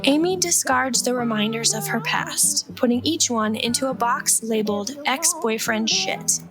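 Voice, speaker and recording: A woman's voice: on the high side, expressive, a little slow, music in the background. A woman reading scripted scene-setting narration for a radio play.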